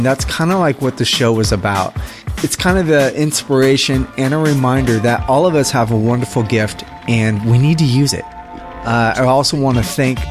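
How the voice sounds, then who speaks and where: A man hosting a podcast, speaking conversationally as he introduces the show and his guest. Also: medium pitch. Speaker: podcast host.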